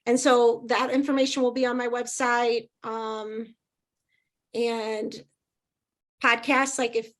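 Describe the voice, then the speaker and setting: A middle-aged woman from Indiana, a podcast guest chatting casually, rambling and laughing easily in a loud voice. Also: fairly high pitch, level tone, slow, long pauses. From Indiana, USA. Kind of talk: casual interview chat.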